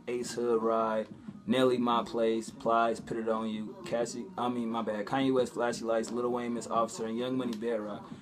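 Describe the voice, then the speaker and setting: Young man, mid-range voice, casual vlog chat, street slang, profane, informal. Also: mid-range voice. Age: young adult. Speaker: vlogger.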